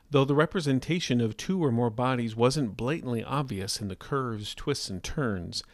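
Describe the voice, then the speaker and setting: A man hosting a fiction podcast, reading scripted narration in a measured, dramatic storytelling style. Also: medium pitch, no room sound.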